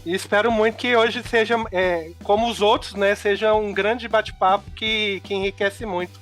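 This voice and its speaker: A man speaks haltingly in a casual podcast chat and restarts his sentences often. His voice is high, with faint music underneath. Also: fairly even intonation, fairly bright tone. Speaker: podcast guest.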